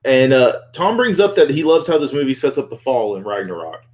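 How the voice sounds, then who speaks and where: A man co-hosting a casual film discussion, speaking informally and somewhat haltingly. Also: mid-range voice, sing-song, darkish tone. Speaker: podcast co-host relaying audience comments.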